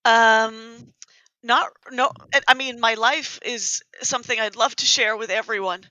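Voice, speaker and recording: A woman's voice: a little high, fairly even intonation, measured pace, sharp tone, no room sound, low-quality recording. Rambling, self-mocking woman guest. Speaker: podcast guest. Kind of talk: casual chat.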